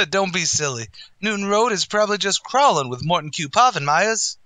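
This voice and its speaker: A sardonic tavern waiter. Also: male voice, high voice, animated, normal speed, sharp tone. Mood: dry. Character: dry-witted male tavern waiter, sardonic. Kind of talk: 1940s radio comedy acting.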